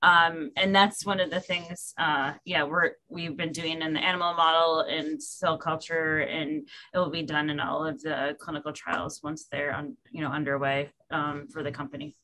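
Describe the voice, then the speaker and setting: Brief: woman scientist on a video call, lowish voice, halting delivery, full of fillers. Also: little pitch movement, sharp tone, a little hiss or hum, rough recording. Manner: hesitant, many fillers and restarts. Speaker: researcher. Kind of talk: answering questions on a webinar.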